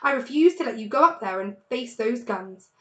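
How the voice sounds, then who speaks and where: A woman's voice: fairly high pitch, lively intonation, normal speed, echoey room, close to an everyday voice. A woman reading a children's storybook aloud, voicing a firm, protective mother fox. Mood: firm, snappish. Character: firm, protective mother fox, weak but loving wife.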